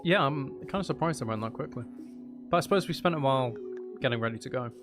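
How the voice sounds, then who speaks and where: A man's voice: mid-range voice, expressive, fast talker, over music. A man thinking aloud as he plays a building game, chatting casually with musing, playful asides. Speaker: streamer playing a building game.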